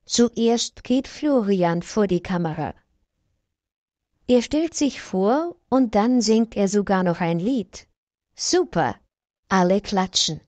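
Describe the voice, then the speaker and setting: A woman reading a simple story aloud slowly and clearly for language learners. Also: medium pitch, a little mellow, no room sound. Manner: slow, clear, evenly paced reading. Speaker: narrator.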